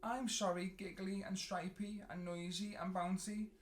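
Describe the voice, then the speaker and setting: A man voicing a sensitive, huggy little male monster from a children's storybook, plaintive and halting. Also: high-pitched, level tone, voice pushed up, natural voice. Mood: sheepish. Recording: roomy echo, faint noise.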